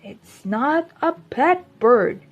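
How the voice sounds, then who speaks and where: Woman as a firm father mole, husky. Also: high-pitched, expressive, extremely slow, heavily acted. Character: firm, plain-spoken adult father mole.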